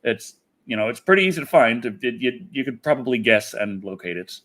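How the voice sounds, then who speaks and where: A man's voice: mid-range voice, animated, a little fast, fairly bright tone. A man hosting a casual livestream, chatting off the cuff in a rambling way and often repeating his phrases. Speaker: internet personality, livestream host. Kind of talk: casual livestream chat, answering viewers.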